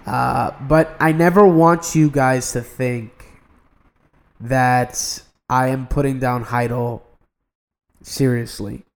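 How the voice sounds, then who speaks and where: Young male podcast co-host, earnest, rambles and restarts his sentences, mid-pitched voice. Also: steady tone, takes it slowly, vocal fry. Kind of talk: casual podcast chat.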